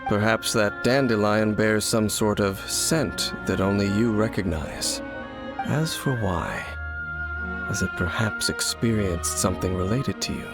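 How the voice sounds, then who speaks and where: Low-voiced, solemn mysterious wanderer. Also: male voice. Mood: reflective. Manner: formal, measured, brooding. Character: solemn, world-weary mysterious male wanderer, aloof and cryptic. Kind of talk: video game voice acting. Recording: music playing behind the voice. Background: game music.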